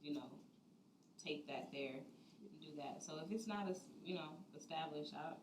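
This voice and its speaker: A woman's voice: deep voice, normal speed, echoey room, noisy background. A woman in her twenties, a dinner guest, explains her view earnestly with lots of "like". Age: 20s. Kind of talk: casual dinner-table chat. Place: dining room at a dinner table.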